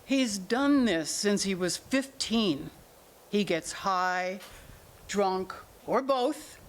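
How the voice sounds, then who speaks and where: A woman's voice: mid-range voice, animated, extremely slow. A woman acting a worried, exasperated mother of grown children in a staged play reading, insistent and reproachful. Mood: weary, reproachful.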